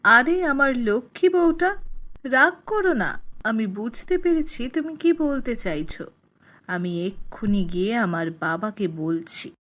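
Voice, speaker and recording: Woman as a blunt high-voiced grown son. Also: animated, unhurried, fairly bright tone. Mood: placating. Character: callous henpecked grown son obeying his wife. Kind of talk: children's cartoon dubbing.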